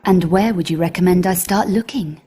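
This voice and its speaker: An actress playing a confident, wry British adventurer heroine in a video game, with a cool, dry delivery. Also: female voice, on the low side, normal speed, warm tone, husky. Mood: determined. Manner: cool, dry, self-assured. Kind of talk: video game cutscene acting.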